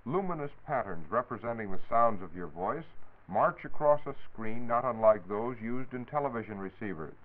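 A man's voice: medium pitch, expressive, normal speed. An American man giving a formal, precise scripted explanation as a 1940s radio science reporter. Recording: tinny, phone-like 1940s radio recording.

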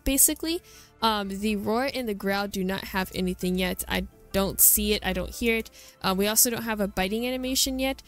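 A woman hosting a gaming video, giving a chatty, enthusiastic, casual walkthrough commentary. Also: mid-range voice.

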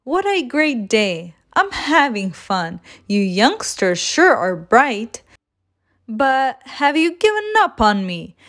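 A woman's voice: a little high, very animated, slow pace, a bit bright, exaggerated voice. A woman voicing a cheeky, boastful little gingerbread man in a children's storybook, playful and taunting in sing-song rhyme. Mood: gleeful boasting. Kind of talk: children's storybook read-aloud.

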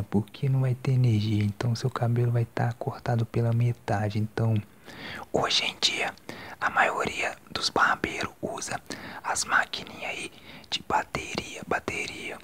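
A young man in his twenties with a Brazilian accent, doing a soft-spoken, whispered ASMR barber roleplay in a casual, slangy way. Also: fairly low pitch. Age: 20s. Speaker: ASMR creator playing a barber. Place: small decorated indoor room. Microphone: handheld phone microphone up close.

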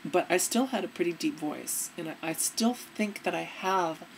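Young woman vlogging on webcam, lowish gravelly voice, chill and earnest. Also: normal speed, slight background noise, poor audio. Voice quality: fairly deep, gravelly. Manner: earnest, relaxed, demonstrating as she talks. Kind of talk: casual advice vlog. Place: dim room indoors.

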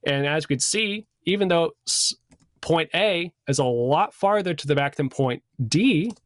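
A man's voice: a little high, animated, measured pace, a bit bright, no echo, studio quality. A man in his thirties giving a casual, conversational lesson as an instructor, talking through slides. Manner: conversational, informal. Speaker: sound system design instructor.

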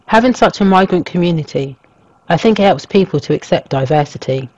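A man reading a scripted documentary narration as a storyteller, in a measured, even way. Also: sits high, dry recording, muffled recording. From England.

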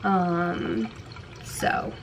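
A young woman vlogging casually at home, talking to the camera while doing her hair and starting dinner, with a relaxed, filler-heavy way of speaking. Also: on the low side, very slow. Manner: chatty, relaxed, many fillers. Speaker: lifestyle vlogger. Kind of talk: casual vlog talk to camera. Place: home bathroom and kitchen, indoors. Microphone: camera mic at arm's length or on a stand. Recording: echoey room, faint background noise.

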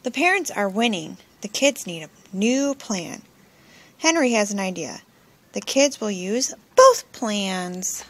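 A woman reading a children's picture book aloud in simple, expressive phrases for young listeners, recorded at home on a phone. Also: mid-range voice, slow pace. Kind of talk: children's storybook read-aloud. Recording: noisy home recording with clicks.

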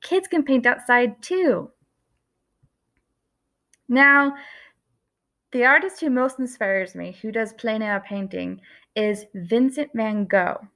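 A woman in her thirties, an art teacher giving a lesson to young children, speaking gently and expressively and slowing down on key words, recorded close to a webcam indoors. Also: a little high. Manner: gentle, expressive storytelling with slow emphatic words.

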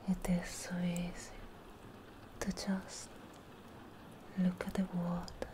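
Very slow whispering young woman, ASMR witch. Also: on the low side, completely flat delivery. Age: young adult. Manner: hushed, breathy whispering, drawn-out phrases. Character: charming, persuasive, eerie witch performing a cleansing ritual. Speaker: ASMR performer in witch roleplay. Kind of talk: ASMR roleplay, soft spoken to whispered. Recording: close-miked whispers with clear background noise.

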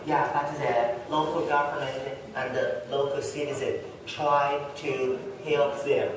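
A man from China explaining things as a local guide in a filmed clip, halting and laughing things off. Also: mid-range voice, flat delivery. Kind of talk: conversational explanation. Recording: echoey hall, far off, crowd noise.

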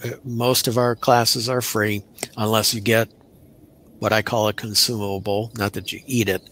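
A man's voice: fairly low pitch, little pitch movement, normal speed, fairly bright tone. A middle-aged man, a county extension program manager, talking casually over a headset on a video call with dry, easygoing humor. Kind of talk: casual live-stream chat.

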